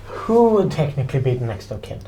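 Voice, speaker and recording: A man improvising as a player in a tabletop roleplaying game, speaking in a measured, understated and halting way. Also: mid-range voice, very animated, warm tone. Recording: faint background noise.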